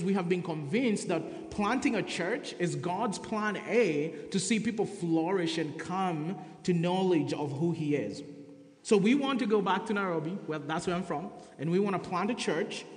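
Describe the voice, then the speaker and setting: A man's voice: high voice, normal speed. A man from Kenya with a Kenyan accent, preaching a sermon and sharing his testimony in earnest. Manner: earnest testimony, reading scripture aloud. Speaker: guest preacher. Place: church sanctuary. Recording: echoey church hall.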